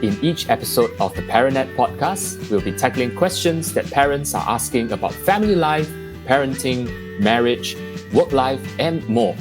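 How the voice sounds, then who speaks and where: A man hosting a podcast, reading a scripted introduction in a measured, polished way. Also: medium pitch, lively intonation, music in the background. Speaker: podcast host.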